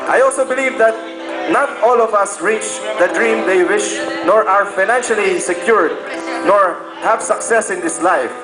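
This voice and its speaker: A man's voice: high-pitched, moderate pace. A Filipino man in his thirties, a native Tagalog speaker, reading a letter aloud in a playful, stumbling way with joking asides. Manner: playful, stumbling, breaks into chuckles and side comments. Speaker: reader of a friend's message. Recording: echoey room with loud music behind. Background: loud instrumental music.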